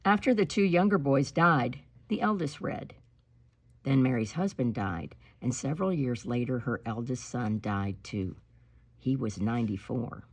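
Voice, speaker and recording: A woman reading a children's picture book aloud in an expressive, emphatic storytelling style, slowing on key words. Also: very deep voice, husky. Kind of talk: expressive read-aloud storytelling with spoken asides.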